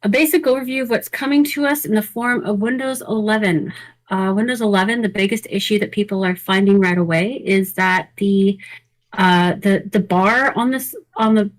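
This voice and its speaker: A woman's voice: medium pitch, moderate pace, rough recording. A woman co-hosting a podcast, running through news items in casual, conversational talk. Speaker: podcast co-host.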